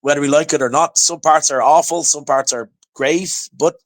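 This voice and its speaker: A man with an Irish accent, talking as a podcast guest. His voice sits a little high, and he tells his stories with lively energy. He often repeats his opening words before getting going. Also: normal speed. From Ireland. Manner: chatty, animated storytelling with repeated restarts. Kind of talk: casual chat.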